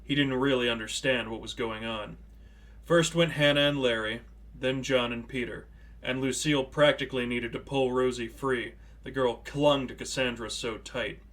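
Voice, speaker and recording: A man narrates prose fiction in a scripted audiobook manner. His voice sits at a middle pitch for a man, delivering the story as written narration. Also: steady tone, normal speed, a bit bright.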